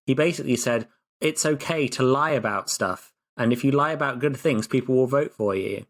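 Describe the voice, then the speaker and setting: A man's voice: mid-range voice, normal speed. A man co-hosting a podcast in casual chat, ranting sarcastically with stammering restarts. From Britain. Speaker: podcast co-host.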